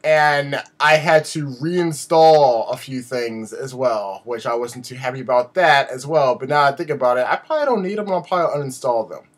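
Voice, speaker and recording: A man giving a casual, rambling vlog update in an animated, self-deprecating way, his voice slightly raspy from a sore throat. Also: mid-range voice, normal speed, hardly any pauses. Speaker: video blogger.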